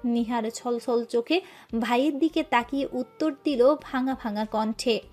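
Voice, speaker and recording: A woman narrating a scripted romantic love story with an expressive, emotive reading. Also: fairly high pitch, unhurried, faint music behind.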